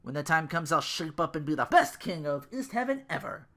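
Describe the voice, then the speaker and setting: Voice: mid-range voice, very animated, brisk, pushed and bright, pitched above the performer's own voice. A performer voicing an arrogant, bossy thirteen-year-old prince in a dramatic fan fiction reading, boastful and petulant.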